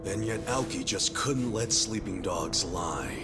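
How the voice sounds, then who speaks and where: An actor playing a defiant, loyal yakuza underdog in a video game cutscene, with rough, blunt street talk. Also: male voice, low voice, animated, measured pace, loud music behind the voice. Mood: scornful. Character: loyal, hot-blooded male yakuza underdog, defiant and vengeful.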